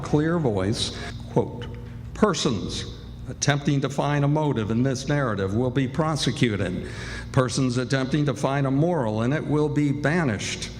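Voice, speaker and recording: Stage radio announcer: male, mid-pitched, formal scripted delivery, hall reverb, music underneath. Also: darkish tone. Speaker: radio play announcer and narrator. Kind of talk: scripted narration in old-time radio style. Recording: echoey hall, music behind.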